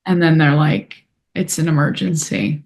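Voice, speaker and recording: Brief: woman host at a film Q&A, lowish voice, hesitant and conversational. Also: moderate pace, creaky, a little mellow. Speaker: festival Q&A host. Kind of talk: conversational follow-up remark.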